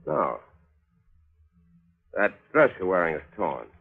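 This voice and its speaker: An actor playing a shrewd, probing newspaper writer, dry and insistent, in 1940s radio mystery style. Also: male voice, deep voice, huge pitch swings, slow pace, gravelly, husky, narrow, tinny audio, full character voice. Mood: cool, accusing. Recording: noisy, band-limited 1940s radio recording.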